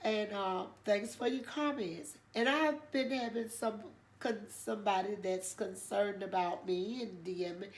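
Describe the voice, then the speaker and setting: A woman's voice: mid-range voice, a little slow, hardly any pauses, poor audio. An older woman hosting her own channel, chatting casually and telling a church story in a nasal, congested voice, rambling with many fillers. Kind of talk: casual chat.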